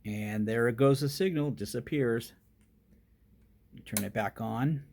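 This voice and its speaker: A man's voice: medium pitch, slow, vocal fry, darkish tone. A man narrating a casual hands-on electronics demonstration as its host.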